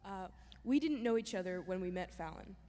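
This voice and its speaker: A woman's voice: mid-range voice, expressive, fairly quick, warm tone. A young woman who was once a student sincerely reads a prepared tribute in a synagogue. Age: young adult. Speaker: former student giving a tribute. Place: synagogue sanctuary. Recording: long hall reverb, faint noise.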